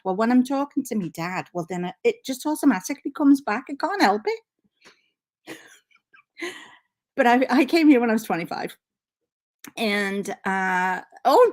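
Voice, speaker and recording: A middle-aged woman from Liverpool with a Canadian accent and faint Liverpool traces, hosting a casual knitting live stream and chatting excitedly with many fillers. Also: medium pitch. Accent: Canadian English with faint Liverpool traces. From Liverpool, England. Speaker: knitting channel host. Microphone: laptop mic.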